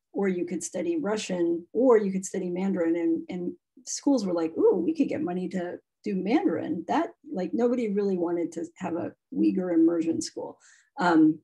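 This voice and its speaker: A woman talks in an easy, opinionated way over a video call. Her voice is mellow and slightly husky. She sounds at ease, like someone used to explaining things. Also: medium pitch, poor audio. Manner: chatty, frank, opinionated. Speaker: guest speaker on immersion schooling. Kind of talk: casual video-call talk.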